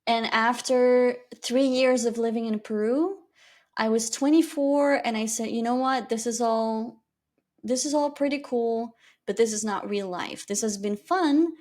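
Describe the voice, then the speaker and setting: A woman's voice: on the high side, a little slow. Candid Flemish-accented woman podcaster. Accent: Flemish Belgian. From Belgium. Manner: candid and reflective, with lively rises. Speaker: podcast host. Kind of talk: personal storytelling monologue.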